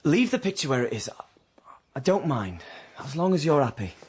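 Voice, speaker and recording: An actor playing a devoted but resentful young working-class husband in an emotional, intimate radio drama. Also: male voice, fairly high pitch, big pitch swings, a little fast, a little mellow, breathy edge. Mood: tender, uneasy. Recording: music behind the voice.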